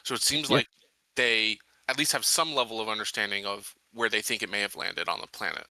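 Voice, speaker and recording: A man playing a tabletop roleplaying game, talking casually and reasoning through plans in a deliberate way. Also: on the low side, steady tone, pushed and bright, no room sound, poor audio. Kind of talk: casual in-game planning discussion.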